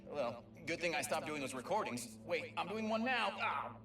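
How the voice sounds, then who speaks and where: An actor playing an excitable young male Lombax mapmaker who stammers and rambles, in a video game audio log. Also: on the high side, normal speed. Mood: excited. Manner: stammering, talkative, self-amused. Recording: echoey and distant, music behind.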